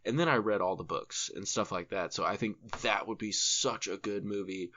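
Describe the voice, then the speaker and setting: A man's voice: fairly low pitch, normal speed, bright, forceful tone, a little hiss or hum. A man co-hosting a podcast, chatting casually and enthusiastically with slang and swearing. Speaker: podcast co-host.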